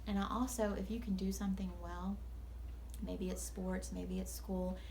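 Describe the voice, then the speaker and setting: A woman's voice: mid-range voice, flat delivery, normal speed, gravelly, a little mellow, slight background noise. A woman teacher speaking to children at story time in an earnest, instructive way.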